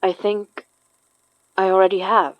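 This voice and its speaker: A woman's voice: medium pitch, flat delivery, a little slow, dry recording, dull audio, lightly acted. A woman playing a determined, protective exorcist in a horror audio drama, earnest and blunt in manner. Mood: weary, blunt.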